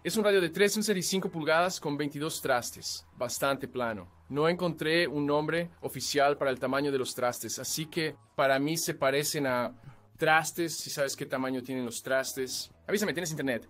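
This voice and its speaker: A man's voice: on the high side, normal speed, a bit bright. A man from Canada giving a casual, joking guitar review. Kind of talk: product review talk.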